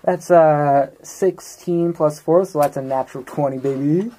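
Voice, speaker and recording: A woman's voice: sits low, measured pace, background noise. A woman talking casually as a player in a tabletop game, explaining her moves.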